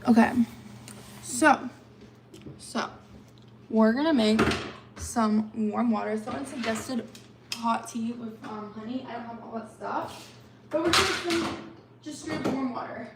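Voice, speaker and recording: A woman in her twenties with a raspy, slightly hoarse voice, chatting casually on a livestream, filling her talk with "like". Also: fairly high pitch, measured pace, pauses often, heavy background noise. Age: 20s. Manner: chatty, lots of fillers like "like".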